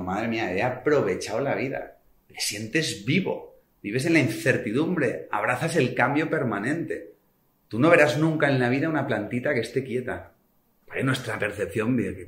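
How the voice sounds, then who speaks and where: A man from Spain, speaking passionately and colloquially as a guest author in a podcast conversation, with sudden swings in loudness. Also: mid-range voice. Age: 40s. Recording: echoey room.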